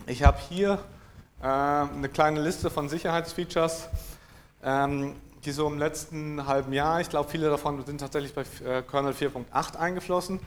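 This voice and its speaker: A man from northern Germany giving a technical conference talk, dry and hesitant, with many fillers and frequent throat clearing. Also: a little high, a little hiss or hum. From East Frisia, northern Germany. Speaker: conference speaker. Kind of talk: technical talk to a live audience.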